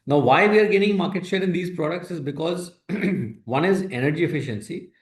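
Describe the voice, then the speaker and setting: A man with an Indian accent answers investor questions as a company executive, explaining in a halting, hesitant way with broken phrases. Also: fairly high pitch. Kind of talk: earnings call answers, explanatory. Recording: roomy echo on a conference call.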